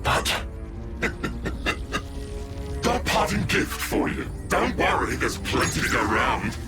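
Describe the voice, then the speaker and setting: An actor playing a mocking, theatrical video game villain with a drawling, showy, menacing delivery. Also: male voice, mid-range voice, sing-song, slow. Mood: gleeful menace. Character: mocking, theatrical male villain called Moebius, gleeful and menacing. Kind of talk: video game cutscene acting. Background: loud background music.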